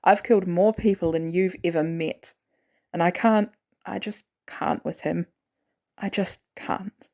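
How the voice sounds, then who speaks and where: A woman voicing a weary, guilt-ridden male former assassin in an audio drama, terse and hesitant, with dry, protective gruffness. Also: on the low side, steady tone, a little slow, darkish tone. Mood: pained, weary.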